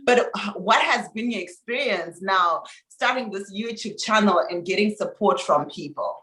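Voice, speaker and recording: A woman's voice: medium pitch, normal speed, pushed and bright. Enthusiastic Zimbabwean woman host, echoey. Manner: enthusiastic, effusive. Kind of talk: casual interview chat. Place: Australia. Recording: echoey room.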